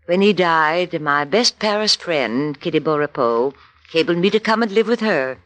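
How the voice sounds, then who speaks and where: A woman's voice: deep voice, lively intonation, normal speed, fairly bright tone, no echo. An actress playing an earnest, middle-aged widow telling her wartime story in a 1940s radio drama. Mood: earnest recounting. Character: widowed settled American lady recounting wartime adventure, earnest, cautious.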